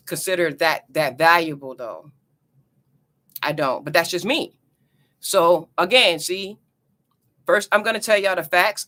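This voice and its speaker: A woman's voice: sits low, bright, forceful tone. A woman with a New Jersey accent talking to camera about astrology in a loose, chatty teaching style, repeating herself and adding "you know" asides. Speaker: astrology vlogger. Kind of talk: casual teaching talk to camera.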